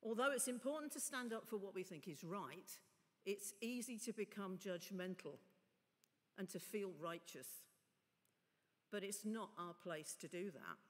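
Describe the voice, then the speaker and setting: A middle-aged woman preaching a chatty, informal sermon from a lectern, her voice husky from a cough. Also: medium pitch, lively intonation, measured pace. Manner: chatty, informal asides to the congregation. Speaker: lay preacher. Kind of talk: sermon with prayer. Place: large stone church, indoors. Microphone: lectern mic. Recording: long church reverb.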